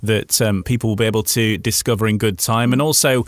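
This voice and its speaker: A man's voice: lowish voice, steady tone, fairly quick, no room sound. A man hosting a conversational radio interview, chatting with his guest. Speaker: radio host.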